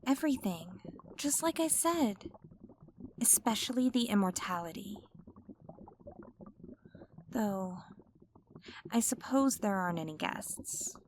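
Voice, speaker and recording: Clingy mermaid lady who wants u forever , a woman voicing her, super slow and soft-coaxing, mid-pitched. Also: animated, a little hiss or hum. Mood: tender. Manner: slow, coaxing, intimate delivery. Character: possessive, obsessive female mermaid queen, young adult. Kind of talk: ASMR roleplay audio.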